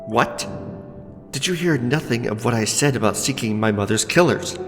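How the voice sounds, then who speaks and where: A man's voice: medium pitch, animated, moderate pace, natural voice. A man playing a proud young necromancer in a fantasy ASMR roleplay, speaking formally and earnestly. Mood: indignant, defiant. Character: proud young necromancer, defiant orphan of royal blood. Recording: long hall reverb, loud music underneath.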